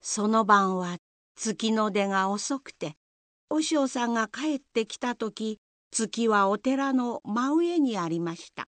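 A woman's voice: fairly high pitch, lively intonation, slow pace, no room sound. A woman narrator telling a scripted folk tale in a storytelling style.

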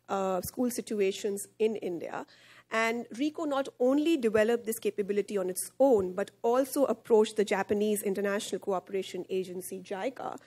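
A woman in her thirties speaks at a podium microphone on a conference stage. She explains her organization's work in a presenting tone, with frequent fillers. Her pitch sits in the middle range for a woman. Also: a little mellow. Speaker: head of partnerships at a charity.

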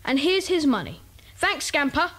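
A woman's voice: high-pitched, sing-song, normal speed, noisy background. A woman playing an earnest, plucky schoolboy club leader in a children's audio drama. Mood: pleased.

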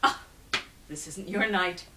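A woman's voice: lowish voice, takes it slowly, far from the mic, very noisy, pitched above the performer's own voice. A woman voicing a sly, cheerful trickster man in a storybook, wheedling and exclaiming in a sing-song way. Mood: mock sympathy.

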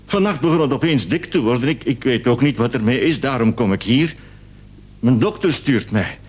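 An actor playing an anxious, hesitant patient who pleads and repeats himself in a Dutch radio play. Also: male voice, medium pitch, animated, normal speed, slightly rough. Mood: hesitant, uneasy. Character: anxious, hesitant male patient with swollen face.

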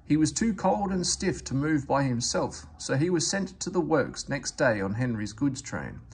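A man's voice: fairly high pitch, lively intonation, moderate pace, husky. A man reading a children's story aloud as scripted narration. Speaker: storybook reader.

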